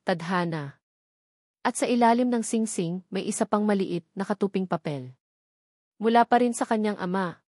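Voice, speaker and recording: Woman, Filipino accent, dramatic audiobook narration, mid-range pitch, very dry close sound. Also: darkish tone, dry recording. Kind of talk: dramatic scripted story narration.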